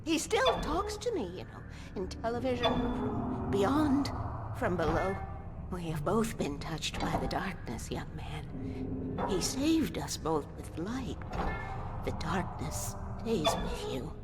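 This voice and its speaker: A woman's voice: on the low side, sing-song, takes it slowly, over loud music. An actress in a video game playing an eccentric, fearful older woman who talks in cryptic, urgent bursts and whispers. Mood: ominous. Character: eccentric, fearful older woman, obsessive guardian against darkness. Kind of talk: dramatic video game acting.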